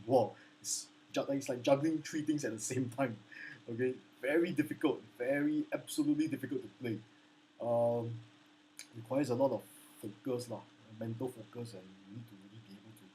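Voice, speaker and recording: Low-voiced man with a lilt. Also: dark tone, slight background noise, poor audio. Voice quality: low baritone voice. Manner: hesitant, many restarts and "okay?" check-ins; apologetic and earnest. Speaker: ukulele instructor. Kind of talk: casual spoken lesson with sung demos. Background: ukulele playing.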